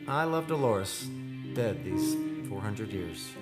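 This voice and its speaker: A man haunted by love in a radio play. He declaims poetic lines with anguish and wide swings of pitch. Music runs prominently under his voice. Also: sing-song, slow. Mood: brooding. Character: tormented, lovesick man doting on a ghost. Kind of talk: old-time radio play acting.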